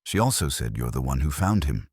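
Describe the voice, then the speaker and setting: Wisecracking male gumshoe, played by a man: very low, lively, breezy and wry. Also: fairly quick, creaky, breathy edge, no echo, studio quality, exaggerated voice. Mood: probing. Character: cheeky, wisecracking male private investigator. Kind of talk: audiobook character voice.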